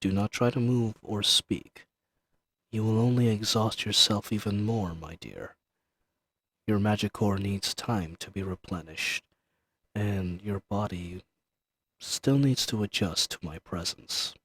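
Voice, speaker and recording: A man's voice: on the low side, a little slow, fairly bright tone, dry recording. A man voicing an ancient dragon familiar in an intimate audio roleplay, speaking formally, possessive and seductive, condescending yet soothing. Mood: soothing, commanding. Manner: formal, archaic diction, soothing and teasing. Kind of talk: intimate scripted roleplay audio addressed to the listener.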